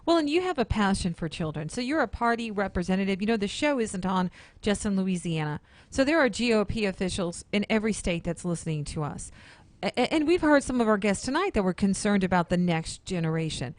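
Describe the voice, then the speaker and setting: A woman's voice: medium pitch, lively intonation, fairly quick, no echo. A woman co-hosting a radio talk show from the studio, chatty and teasing with her guest. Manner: teasing, joking. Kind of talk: casual radio chat.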